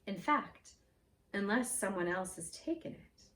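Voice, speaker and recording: A woman's voice: mid-range voice, a little slow, a little hiss or hum. Echoey storytime narrator, woman. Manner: gentle, expressive read-aloud. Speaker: storyteller in costume. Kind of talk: reading a fairy tale aloud. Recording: roomy echo, mic at a distance.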